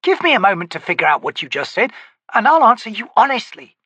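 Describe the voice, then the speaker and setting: A man voicing a sardonic, bossy young female corporal who scolds crisply in an audiobook. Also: high-pitched, very animated, fast, sharp tone, dry recording, pitched above the performer's own voice, heavily acted. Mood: scolding.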